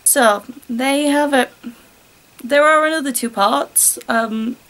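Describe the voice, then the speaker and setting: A woman's voice: high-pitched, takes it slowly, fairly bright tone, rough recording. A British woman reading a story aloud with giggly, incredulous, sarcastic asides. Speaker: reader and commentator.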